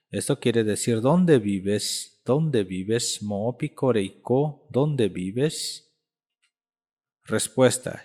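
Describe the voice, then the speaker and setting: A man in his thirties teaching a language lesson, saying each phrase slowly and repeating it several times. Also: medium pitch, darkish tone. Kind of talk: slow spoken language lesson.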